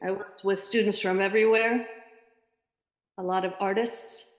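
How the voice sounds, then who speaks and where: A middle-aged American woman speaks at an ordinary pitch, halting often with fillers as she chats about her freelance teaching and editing work. Her voice rings around an echoey room with a long, lingering reverb. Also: steady tone. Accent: standard American. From New York area. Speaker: freelance ESL teacher and editor. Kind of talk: casual conversation with a client.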